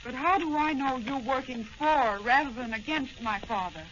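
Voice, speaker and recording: A woman's voice: high voice, moderate pace, fairly bright tone, lo-fi sound. A woman playing an earnest, bold young daughter of a police commissioner in melodramatic 1940s radio serial style. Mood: firm, suspicious. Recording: noisy, band-limited old radio recording.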